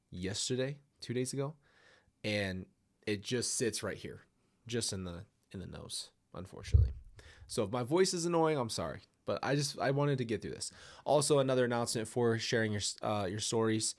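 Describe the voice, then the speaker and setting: A man's voice: on the low side, animated, moderate pace. A man hosting a casual livestream, a little nasal from a stuffy nose, rambling and apologetic as he talks to his viewers. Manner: rambling, apologetic, restarts often. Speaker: livestream host reading viewer stories. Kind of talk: casual livestream chat and story reading.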